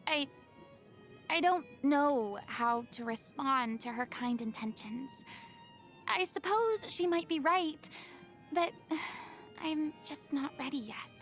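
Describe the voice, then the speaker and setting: High voiced young game diva, theatrical and boastful but kinda fragile, bit of music behind. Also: female voice, lively intonation, takes it slowly, a bit bright, heavily acted. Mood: hesitant, wistful. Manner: theatrical, boastful, prone to sighs and flustered hesitation. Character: proud, theatrical young former celebrity performer, vain yet vulnerable. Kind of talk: video game character monologue.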